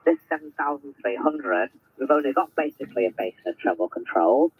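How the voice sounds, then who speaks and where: A man's voice: medium pitch, expressive, fairly quick, husky, phone-quality sound. A man in his fifties, an amateur radio operator chatting on air, hesitant with many restarts. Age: 50s. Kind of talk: ham radio contact chat.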